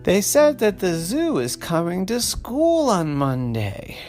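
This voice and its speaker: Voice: mid-range voice, huge pitch swings, slow pace, darkish tone, soft background music, pitched above the performer's own voice. A storyteller voicing an anxious, stubborn little schoolboy in a whiny, protesting way for a children's story. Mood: worried, defiant. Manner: wailing, protesting, emphatic.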